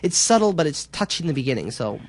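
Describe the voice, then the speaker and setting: A man giving an informal, somewhat halting software tutorial as an instructor. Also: a little high, sing-song, no room sound.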